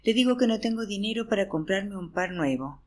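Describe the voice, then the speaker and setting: A woman's voice: mid-range voice, expressive, brisk. A woman narrating an audiobook in the first person, acting out a weary teacher's chatter to a class. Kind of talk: expressive audiobook reading.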